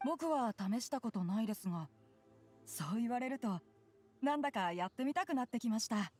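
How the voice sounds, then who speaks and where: A woman's voice: fairly high pitch, expressive, racing, a little mellow, soft background music. A woman voicing a polite, eager, modest boy surveyor in an anime-style game. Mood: earnest, eager. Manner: polite, earnest.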